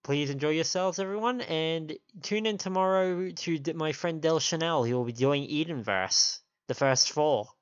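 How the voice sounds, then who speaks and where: Sniffly man streaming, slightly low-pitched and dull-sounding. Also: normal speed, few pauses, smooth. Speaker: game livestream host. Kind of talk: casual stream chat. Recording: dull lo-fi stream audio, faint music.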